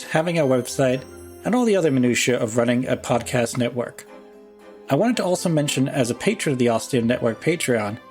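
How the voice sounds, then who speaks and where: A man hosting a podcast, reading scripted announcements and credits in a narrating style. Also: medium pitch. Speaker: podcast creator and host. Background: music.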